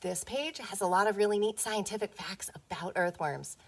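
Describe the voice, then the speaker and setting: A woman teacher reading a picture book aloud to young children, playful and expressive, often putting questions to them. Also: mid-range voice, normal speed, slight background noise.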